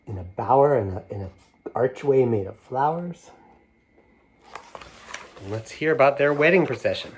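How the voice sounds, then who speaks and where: Man slowly reading a picture book aloud. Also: medium pitch, breathy edge. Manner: gentle and slow, pausing to show the pictures. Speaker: children's book reader. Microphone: camera mic, moving toward it to show pages.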